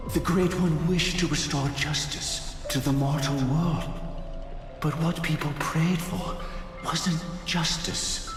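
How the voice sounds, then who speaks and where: Brooding fantasy-game man: slow, measured, solemn, theatrical; heavy reverb with music underneath. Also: on the high side. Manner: grave, deliberate, theatrical delivery. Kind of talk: dramatic video game voice acting. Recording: echoey hall reverb, music behind.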